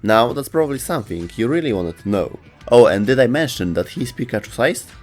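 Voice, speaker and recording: A man's voice: mid-range voice, expressive, brisk, darkish tone, faint music behind. A man hosting and narrating a scripted gaming lore video.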